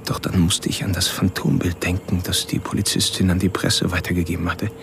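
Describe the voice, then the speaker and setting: Low, creaky, obsessive male killer narrating. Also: level tone, background noise. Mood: obsessed, unsettled. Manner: deliberate, literary, confessional. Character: obsessive, self-aware male killer narrating his stalking. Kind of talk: first-person crime radio drama narration.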